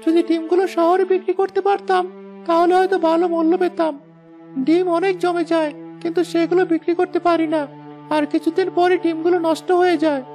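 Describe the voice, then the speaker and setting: A woman's voice: very high-pitched, level tone, a little fast, dark tone, faint music behind. A woman voicing an earnest, caring schoolboy from a poor village in a moral story cartoon. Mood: earnest, responsible. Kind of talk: scripted children's moral story cartoon dubbing.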